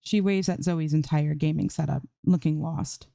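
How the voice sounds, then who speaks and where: A woman's voice: low voice, steady tone, normal speed, creaky, dark tone, no room sound, clean studio sound. A woman reading a story aloud as an amateur narrator, in scripted prose. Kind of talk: scripted fan fiction narration.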